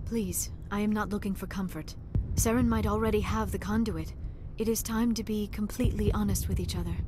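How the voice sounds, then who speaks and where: A woman's voice: mid-range voice, noisy background, lightly acted. A woman acting as an earnest young female alien scientist in a video game, speaking in formal, careful phrases.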